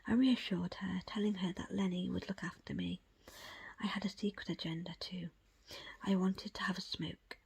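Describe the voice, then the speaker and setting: A woman reading a book aloud as ASMR in a breathy, unvoiced whisper, with hushed, deliberate phrasing in short pieces. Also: lowish voice, fairly even intonation. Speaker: audiobook reader.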